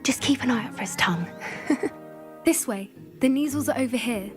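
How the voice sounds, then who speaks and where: A woman's voice: a little high, normal speed, lightly acted. A woman voicing a friendly, giggly young student in a game cutscene. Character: cheerful young girl student. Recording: game cutscene with music behind.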